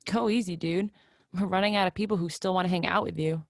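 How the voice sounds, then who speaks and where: Woman acting jaded young woman, creaky dry voice. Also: fairly low pitch, fairly even intonation, fast, dry recording, close to an everyday voice. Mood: flat, weary. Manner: blunt, swearing, weary. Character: jaded, despairing young woman, podcaster friend. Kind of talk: play reading over video call.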